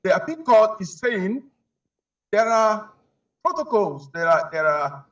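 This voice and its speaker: A man with a Nigerian accent giving his views as an interview guest, halting and repeating himself as he searches for words. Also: high voice, very animated, a bit bright, distant mic. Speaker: human rights advocate, interview guest. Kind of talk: spoken opinion in a news interview.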